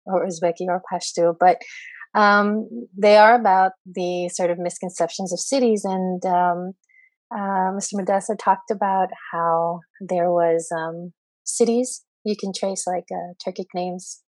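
A woman guest on a panel talks in a somewhat low, even voice with little rise and fall. She hesitates often and restarts her phrases. The sound is dry and close. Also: no echo, poor audio. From grew up in Saudi Arabia and the US. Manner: hesitant, many fillers and restarts. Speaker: guest poet. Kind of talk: panel discussion.

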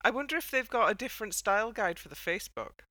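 A woman's voice: medium pitch, animated, fast, pushed and bright, dry recording. A woman hosting a casual panel chat in a wry, playful way. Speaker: podcast host.

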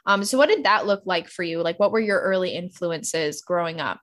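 A woman who teaches music hosts a relaxed interview. She is upbeat and chatty, with lots of "like" and "um" as she frames her questions. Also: fairly low pitch, brisk. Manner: upbeat, chatty, uses "like" often.

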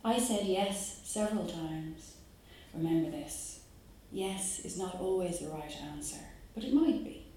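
An Irish woman from Dublin, a poet who talks her audience through her work in a musing way, pausing on fillers, then reads her poems aloud at a live event. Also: lowish voice, lively intonation, warm tone, a little hiss or hum. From Ireland. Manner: musing, with hesitant fillers between phrases. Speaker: poet giving a reading. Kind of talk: poetry reading with spoken introduction. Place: live reading venue. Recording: very echoey room.

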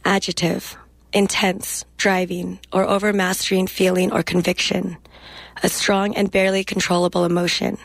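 A young woman guest speaking reflectively in a radio interview and reading her poetry in a measured way. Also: mid-range voice, creaky, dry recording, poor audio. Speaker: guest poet.

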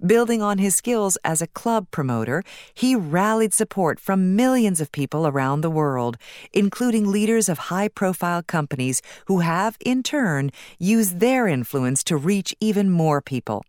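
A woman reading scripted nonfiction as an audiobook narrator in a measured, articulate, instructive way. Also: fairly low pitch, darkish tone, no echo, clean studio sound.

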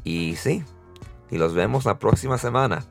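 Young Dominican-American male podcast host, low voice, easy laughs, soft music under. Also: expressive, moderate pace, creaky. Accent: Dominican and American-tinged Spanish. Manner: warm, laughs often, restarts words. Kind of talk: casual interview and outro.

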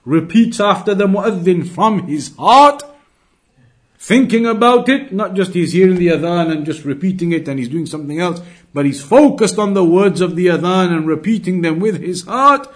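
A man's voice: high voice, expressive, normal speed. A man giving an Islamic religious lesson in an emphatic, didactic manner, repeating key phrases as he explains scripture. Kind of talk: religious lecture, quoting and translating scripture.

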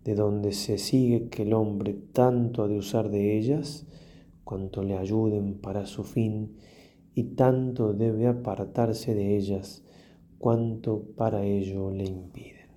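A low-voiced man leads a guided prayer as a priest. His delivery is very slow and solemn, leaving long pauses and letting phrases trail off softly. The timbre is dark and mellow. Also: steady tone, a little hiss or hum. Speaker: priest leading a guided meditation.

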